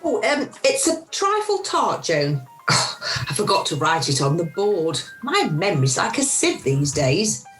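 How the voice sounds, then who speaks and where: A woman's voice: lowish voice, very animated, measured pace, a bit bright. A woman playing a kindly, slightly scatty middle-aged mother in an amateur radio play. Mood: breezy, scatty. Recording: echoey room, home video call, faint music.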